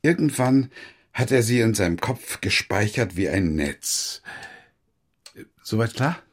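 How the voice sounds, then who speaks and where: Elderly male ex-officer, pompous, lecturing, low-pitched, rough-edged voice, radio drama. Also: expressive, unhurried. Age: older. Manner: lecturing, philosophizing. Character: pompous, frail old retired East German army officer.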